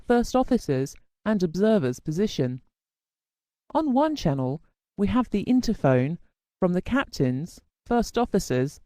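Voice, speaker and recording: A narrator reading a scripted technical lesson in a measured, formal, explanatory way. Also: mid-range voice, warm tone, dry recording. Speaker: instructional narrator.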